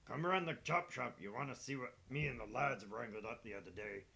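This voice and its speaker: A man playing a laddish monster hunter, a gravelly voice and a roguish, theatrical storytelling manner. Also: medium pitch, animated, quick pace, sharp tone. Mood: cheeky, friendly. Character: cheeky, laddish British monster hunter tour guide.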